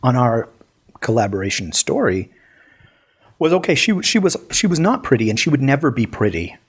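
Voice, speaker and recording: A man co-hosting a casual podcast, telling stories in a lively way with lots of restarts. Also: medium pitch, normal speed, husky. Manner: animated storyteller, many restarts. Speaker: podcast co-host. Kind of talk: casual chat.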